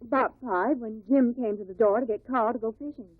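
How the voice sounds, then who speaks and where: An actress playing a nervous, bitter widow who testifies in a hesitant, defensive way in a 1950s radio drama. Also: female voice, on the high side, a little fast, no room sound, natural voice. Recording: tinny, dry old radio recording.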